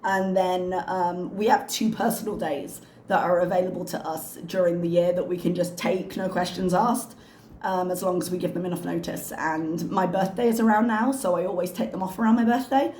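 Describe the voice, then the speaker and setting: A woman with a hoarse, slightly strained voice, chatting casually and wryly as a reaction channel host. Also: lowish voice, fairly even intonation, moderate pace, mellow, echoey room, slight background noise, low-quality recording. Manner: chatty, wry, self-mocking. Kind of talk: casual chat to camera.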